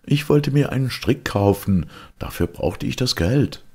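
A man's voice: mid-range voice, lively intonation, measured pace, dark tone, husky, pitched above the performer's own voice. A man voicing a poor, henpecked woodcutter husband who pleads and placates in a folktale reading. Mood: pleading. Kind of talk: storybook character voice in a folktale reading.

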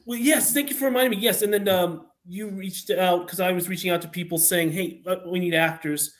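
A man's voice: high-pitched, steady tone, fairly quick. A man talking as a writer guest on a casual podcast, discursive, rephrasing and elaborating as he goes. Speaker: guest, fan audio drama writer. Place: remote online video call.

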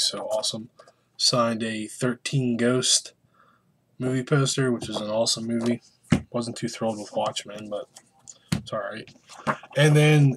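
A man's voice: medium pitch, slight background noise. Rambling, casual North American man. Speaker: vlogger showing autograph mail. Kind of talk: casual chat to camera. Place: small indoor room full of collectibles.

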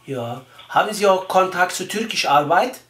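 A man putting on a naive, persistent Turkish immigrant with a Turkish accent, halting and ungrammatical, on a prank phone call. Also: high voice, expressive, a bit bright. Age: young adult. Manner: halting, ungrammatical, repeats himself. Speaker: prank caller. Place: small room indoors, phone on speaker. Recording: echoey room.

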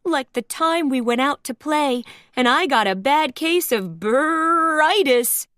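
A woman acts out a friendly storybook snowman who frets. The voice sits very high and stretches its words in wide, anxious swings. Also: lively intonation, slow pace, a bit bright, no echo, studio quality, heavily acted. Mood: worried. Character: worried, friendly toy snowman. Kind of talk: children's storybook character reading.

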